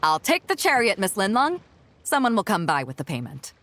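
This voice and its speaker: A woman's voice: medium pitch, wildly swooping pitch, a little fast, a bit bright. A woman playing a self-assured, generous ship captain in a video game, talking in a casual, breezy, confident way. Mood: easygoing, confident. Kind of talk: video game character dialogue.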